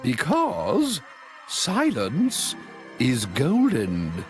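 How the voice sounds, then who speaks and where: A man voicing a calm, scheming woman librarian who speaks in a low, measured, dry way. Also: soft background music, exaggerated voice.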